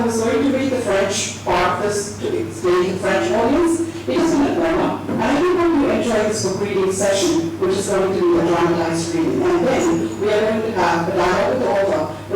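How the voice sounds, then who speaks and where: A woman's voice: mid-range voice, very noisy. A woman formally reads a prepared introduction as presenter at a live event. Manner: formal, reading from a prepared text. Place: echoey event venue. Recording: very echoey, distant, loud music behind.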